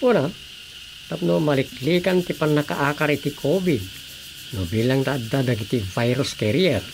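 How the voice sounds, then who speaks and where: A man's voice: medium pitch, normal speed, gravelly, a little hiss or hum, close to an everyday voice. A man with an Ilocano accent acting in a radio drama as a practical villager, explaining things conversationally. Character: practical man planning to sell household goods.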